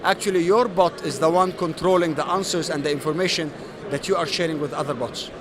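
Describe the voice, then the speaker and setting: Man from Egypt, slightly high voice, many fillers, noisy trade show floor. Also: a little fast. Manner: explains at length with frequent "uh" fillers. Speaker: solution architect. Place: busy exhibition floor.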